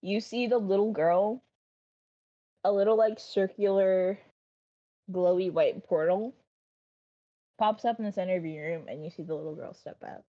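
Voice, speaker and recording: A woman's voice: medium pitch, steady tone, moderate pace, long pauses. A woman narrating a tabletop game as game master, playful and teasing, swearing casually, on dull lo-fi stream audio.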